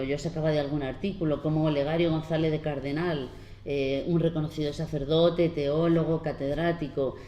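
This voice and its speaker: A woman in her fifties from La Mancha gives an earnest, unhurried public talk as a panel speaker, pausing often as she explains. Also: low-pitched, dark tone. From La Mancha, Spain. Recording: roomy hall with faint background noise.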